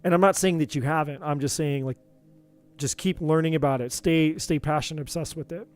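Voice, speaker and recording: A man doing a live tarot reading, talking in an earnest, encouraging way with many repeats, his voice going a bit hoarse. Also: fairly high pitch, fairly even intonation, fairly quick, faint music behind. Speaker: tarot reader.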